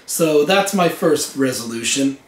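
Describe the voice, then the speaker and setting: A young man with a hoarse voice from a cold, hosting his own vlog in an earnest, plain way from a rented room at home. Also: medium pitch, animated, unhurried. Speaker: vlog host. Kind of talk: casual vlog talk to camera. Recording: echoey room.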